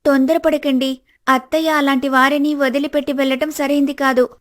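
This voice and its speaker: Lady doing a smart young daughter-in-law, really high pitched, firm n earnest, animated moral story. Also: a little fast, close to an everyday voice. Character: clever, principled, outspoken young daughter-in-law. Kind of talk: animated family drama dialogue.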